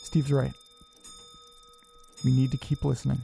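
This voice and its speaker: An actor playing an anxious, lonely, self-doubting man who talks haltingly to himself in a horror audio drama. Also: medium pitch, completely flat delivery, gravelly, dark tone, faint music behind. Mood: puzzled, uneasy. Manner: halting, muttering, talking to himself. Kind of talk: audio drama monologue, naturalistic acting.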